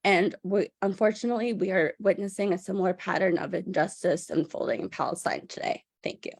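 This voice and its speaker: A woman's voice: mid-range voice, steady tone, brisk, creaky, breathy edge, dry recording. A woman delivering a prepared public statement in a formal, firm manner. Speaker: public commenter.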